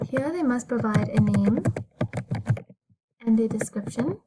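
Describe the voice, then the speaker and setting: Higher-pitched young woman, noisy tutorial recording. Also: lively intonation, a little slow. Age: young adult. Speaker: student software demonstrator. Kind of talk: scripted tutorial narration. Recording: noisy, with keyboard typing and clicks.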